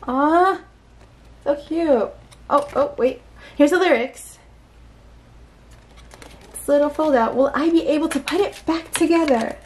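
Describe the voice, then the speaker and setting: A woman's voice: high voice, unhurried, long pauses. A woman chatting casually through an unboxing, giggly and full of little exclamations as she talks to herself. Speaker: unboxing vlogger. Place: indoors at a tabletop.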